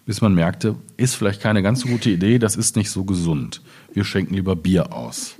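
A man from Germany's Ruhr region, a poet at a literary reading, speaking in a husky, deliberate, measured way. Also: sits low, a little mellow. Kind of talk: poetry reading with informal commentary.

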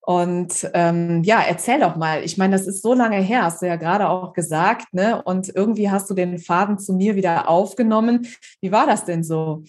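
A woman's voice: fairly low pitch, normal speed, a little mellow. A woman hosting her own podcast about starting a business, who talks hesitantly with many fillers. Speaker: podcast host. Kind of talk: casual podcast interview.

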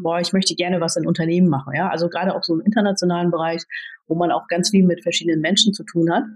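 A woman in her forties, born in the Philippines and raised in Germany, answering interview questions in a measured, thoughtful way with frequent fillers. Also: lowish voice, a little mellow, husky, poor audio. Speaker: podcast guest, tech executive.

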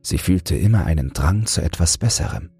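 A man with a soft, breathy voice narrating a fairy tale as a bedtime audiobook. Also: sits low, expressive, normal speed. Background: soft music.

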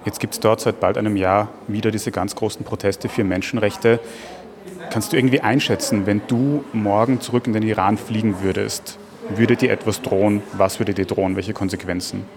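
A man interviews a guest for a news podcast. His voice sits somewhat low, and he puts his questions briskly and matter-of-factly. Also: steady tone, a crowd in the background. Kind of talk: podcast interview and scripted intro.

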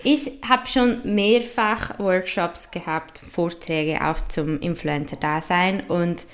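A woman from Switzerland with a Swiss German accent, chatting as an interview guest, laughing often and filling pauses with "ähm". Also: fairly low pitch. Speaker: guest, influencer. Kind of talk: casual interview chat.

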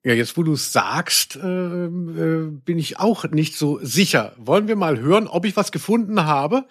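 A man's voice: on the high side, lively intonation, measured pace, breathy edge. A man co-hosting a podcast in humorous casual chat, wordy and mock-indignant. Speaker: podcast co-host.

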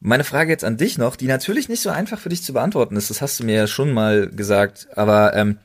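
A man's voice: medium pitch, expressive, brisk. A German man co-hosting a casual podcast, speaking colloquially and emphatically, often doubling up his intensifiers. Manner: colloquial, emphatic enthusiast who repeats intensifiers. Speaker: podcast co-host.

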